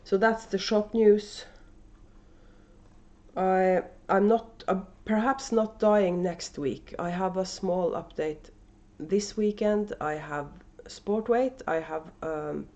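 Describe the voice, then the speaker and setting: A woman's voice: mid-range voice, slow, darkish tone. A woman with a Norwegian accent chats casually and unscripted as host of a knitting video podcast at home, with many fillers and restarts. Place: at home, indoors. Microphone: camera microphone.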